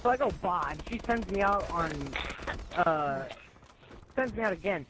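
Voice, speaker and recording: Teen boy, high-pitched, slangy storyteller who voices both sides of every exchange, on a noisy gaming mic with gunfire behind. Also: unhurried. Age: teenager, high school student. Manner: animated, acts out both sides of conversations, slangy. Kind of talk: casual anecdote telling among friends.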